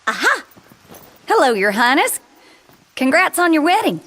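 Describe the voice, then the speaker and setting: Perky southern girl mechanic, high voice, slow bouncy drawl, game character. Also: sing-song, a bit bright, full character voice. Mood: perky, teasing. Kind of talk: video game voice acting.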